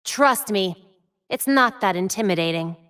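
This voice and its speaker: Game casting: young female vigilante hero, determined and wry, focused conversational delivery, mid-range pitch, very dry recording. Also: expressive, unhurried, a bit bright, smooth, no echo. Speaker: video game voice actor. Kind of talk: video game character dialogue lines.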